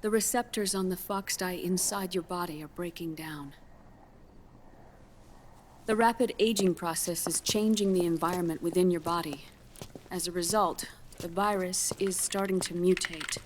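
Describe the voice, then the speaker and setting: A woman playing a grave scientist in a video game cutscene, explaining grim findings in a measured, solemn way. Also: fairly low pitch, fairly even intonation, a little hiss or hum, lightly acted. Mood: clinical, explanatory. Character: grave female scientist delivering a grim diagnosis.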